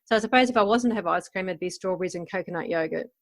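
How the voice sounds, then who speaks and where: A woman's voice: lowish voice, very fast. Encouraging Australian woman, plain-spoken. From Gold Coast, Queensland, Australia. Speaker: podcast host answering interview questions. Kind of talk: casual, conversational interview.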